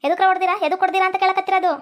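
Brief: a woman as a rapid-fire, very high-pitched older mother-in-law, scolding. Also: little pitch movement, lo-fi sound. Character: shrill scolding old village mother-in-law. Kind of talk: comedy skit acting.

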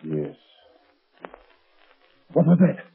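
A man's voice: fairly high pitch, wildly swooping pitch, drawn-out words, gravelly, full character voice. A man playing a nervous, fearful henchman in an old radio drama, anxious and tremulous. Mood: uneasy. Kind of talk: 1930s radio drama acting. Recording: tinny, noisy 1930s radio broadcast.

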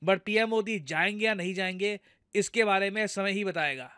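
A man's voice: high-pitched, moderate pace, bright, forceful tone, clean tone, dry recording, studio quality. A man with an Indian accent giving explanatory news commentary in a conversational way, addressing viewers directly and going step by step. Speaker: news commentator, video host.

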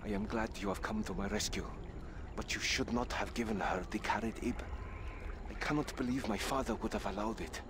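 A man voicing a dignified, gracious young desert prince in a video game, formal and measured. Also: on the low side, noisy background, close to an everyday voice. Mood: grateful, grave.

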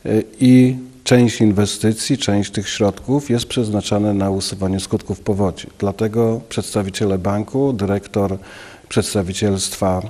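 A man in his fifties, a local official giving a news interview in an office, speaking haltingly with many filler sounds into a handheld mic. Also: on the low side, fairly even intonation, dark tone. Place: office, indoors. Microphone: handheld station mic.

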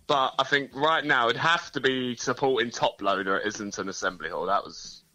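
A man, a band frontman, talking in a relaxed, laid-back way as an interview guest. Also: medium pitch, brisk, low-quality recording. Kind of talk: casual interview chat. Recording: phone interview.

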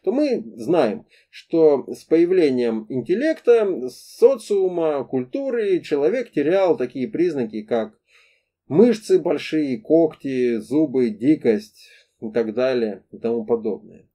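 A man's voice: on the high side, very animated, slow, warm tone. A man giving an explanatory talk to camera in a didactic, emphatic way, with rhetorical questions and repeated points. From former Soviet country, moved to Russia as a child. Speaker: host of a pedagogy talk series. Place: indoor room against a plain wall.

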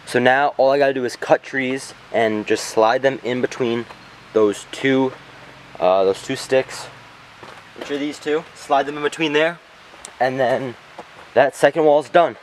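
A young man with a mid-pitched voice talks through his work in a plain, matter-of-fact way. He is outdoors in a forest, recorded on a camera mic. Faint outdoor ambience sits behind him. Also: a little slow, a little hiss or hum, low-quality recording. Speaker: bushcraft vlogger. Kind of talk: casual narration while working. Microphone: camera mic on a tripod or handheld.

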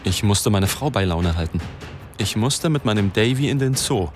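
Low-voiced sardonic reporter narrating , played by a man. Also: expressive, over music, lightly acted. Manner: ironic, deliberate. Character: wry, self-mocking male newspaper reporter narrating his story. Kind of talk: first-person radio drama narration.

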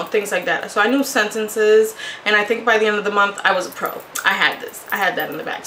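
Woman, native Spanish speaker, mid-pitched voice, chatty self-deprecating video blogger, small echoey room, faint background noise. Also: sharp tone. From Puerto Rico, moved to Connecticut as a child. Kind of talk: casual personal storytelling to camera. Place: small home room, indoors.

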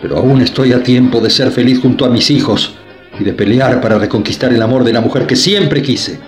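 A man's voice: medium pitch, fairly quick, reverberant, soft background music, natural voice. A man playing a defiant middle-aged son. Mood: resolute. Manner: firm, earnest, impassioned. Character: middle-aged son defying his mother, resolute.

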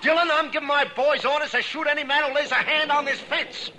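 Stubborn mean rancher boss, really high hard-edged voice, old radio western. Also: male voice, fairly even intonation, fairly quick, sharp tone, soft background music, exaggerated voice. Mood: angry, defiant. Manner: combative, defiant. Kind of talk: 1950s radio western acting. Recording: hissy 1950s radio broadcast.

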